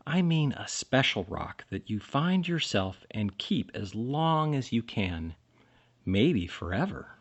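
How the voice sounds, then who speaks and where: A man reading a children's picture book aloud expressively, with dramatic pauses and emphasis. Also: mid-range voice, big pitch swings, highs cut off. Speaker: storybook reader.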